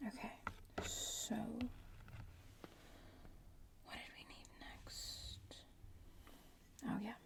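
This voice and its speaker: A woman playing a smug, bratty young witch in training in an ASMR roleplay, softly whispering and murmuring close to the microphone in an unhurried way. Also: lightly acted. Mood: focused, murmuring. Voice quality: soft, breathy whispering mixed with low murmur.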